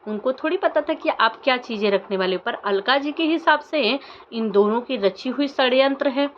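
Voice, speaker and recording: A woman's voice: sits high, expressive, moderate pace, highs cut off, a little hiss or hum. A woman vlogger giving opinionated commentary to her viewers in an insistent way, with pointed rhetorical questions. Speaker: vlogger commenting on social media controversy.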